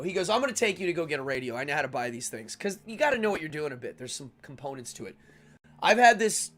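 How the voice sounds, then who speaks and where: Higher-voiced middle-aged American man hosting a livestream. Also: fairly bright tone. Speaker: livestream show host. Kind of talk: casual live stream chat.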